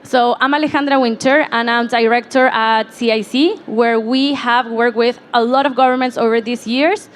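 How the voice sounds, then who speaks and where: Woman from Chile, slightly high voice. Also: normal speed, few pauses, slight background noise. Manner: storytelling, quotes others in lively voices. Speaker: program director, panelist. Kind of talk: conference panel introduction.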